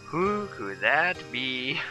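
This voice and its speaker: A man's voice: mid-range voice, very animated, drawn-out words, music in the background. A man from Germany with a German accent, giving rambling, hesitant gameplay commentary with lots of fillers. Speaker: let's player.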